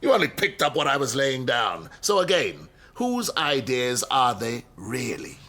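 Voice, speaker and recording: An actor in a radio drama playing an arrogant, defensive middle-aged university professor, wordy and rhetorical. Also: male voice, mid-range voice, wildly swooping pitch, unhurried, sharp tone, heavily acted. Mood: domineering. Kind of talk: naturalistic radio drama acting.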